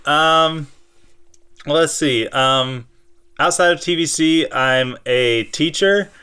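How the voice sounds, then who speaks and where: A man chatting casually and playfully as co-host of a fan show, halting as he thinks aloud. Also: fairly high pitch, bright, forceful tone, clean tone. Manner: playful, improvised sock-puppet banter. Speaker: fifth and sixth grade teacher. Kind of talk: casual chat.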